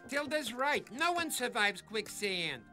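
A man's voice: very high voice, very animated, a little slow, pushed and bright, faint music behind, heavily acted. A man voicing a brash, wisecracking sidekick in a cartoon adventure, blunt and slangy.

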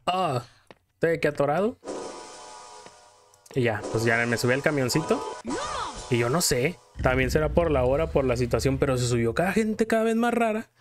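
A man's voice: a little high, animated, moderate pace, long pauses, soft background music. A man with a Mexican accent telling a story in casual, colloquial style while commenting as a gameplay host. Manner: colloquial, acts out quotes in passing.

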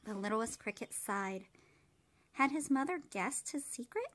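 A woman in her thirties reading a storybook aloud to young children like a kindergarten teacher, measured and expressive. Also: fairly high pitch.

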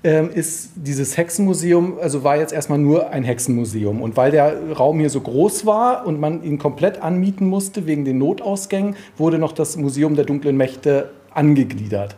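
A man's voice: on the high side, normal speed, hardly any pauses, warm tone. A man working as a podcast reporter on location indoors, talking and asking questions. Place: indoors in a museum.

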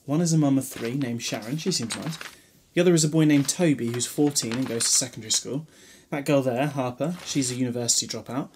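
A man's voice: mid-range voice, quick pace, a little mellow, a little hiss or hum, lightly acted. Eccentric, rambling Time Lord played by a man. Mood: chatty, breezy. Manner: whimsical, rambling, breezily distracted. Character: eccentric, chatty, newly regenerated male Time Lord. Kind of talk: audio drama acting.

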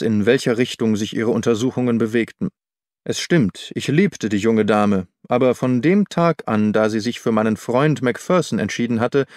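A man voicing a weary, earnest and dignified gentleman in an audiobook, speaking in formal, measured phrases. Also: medium pitch, no echo, clean studio sound, lightly acted. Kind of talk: audiobook character dialogue.

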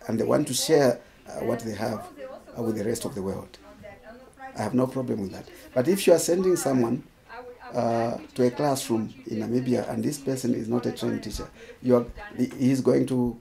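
Gravelly man, firm and deliberate. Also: mid-range voice, crowd noise. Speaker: interviewee, education worker. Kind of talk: documentary interview. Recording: music bed under the voice.

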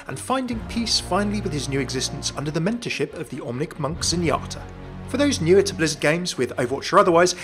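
A young man hosting and narrating a scripted fan video in a chatty, jokey way. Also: fairly high pitch.

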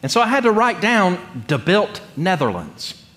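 A middle-aged man from North Carolina, preaching in a conversational, humorous storytelling way with deliberate pauses. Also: sits high, sing-song, fairly bright tone. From North Carolina, United States. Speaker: pastor. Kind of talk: sermon with personal storytelling. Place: church sanctuary, speaking from the pulpit.

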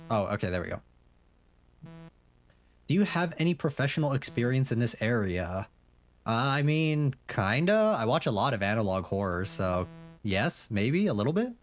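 Chatty young man streaming a game. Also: mid-range voice. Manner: chatty, rambling, many fillers and restarts, reads questions aloud. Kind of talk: casual game commentary.

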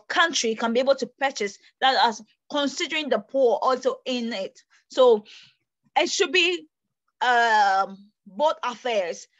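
A young woman from Ghana makes her case to an online panel from a small bedroom. Her voice sits fairly high, and she talks with animated gestures, often restating herself mid-thought. The tablet audio is dry and a little muffled. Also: no echo. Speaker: host of an online panel discussion. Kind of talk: earnest debate remarks. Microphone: tablet camera on a video call.

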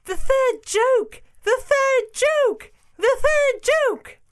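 An excitable little storybook bird, a jackdaw. The voice leaps very high and swoops about, drawing out its incredulous chatter. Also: male voice, takes it slowly, dry recording, clean studio sound, exaggerated voice. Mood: great excitement. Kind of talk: storybook character voice.

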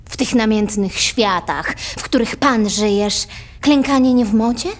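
An actress playing a proud, defiant teenage aristocratic girl in a verse radio play, solemn and deliberate. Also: a little high. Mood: cool, guarded. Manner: solemn, deliberate, poetic delivery. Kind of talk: theatrical verse drama acting.

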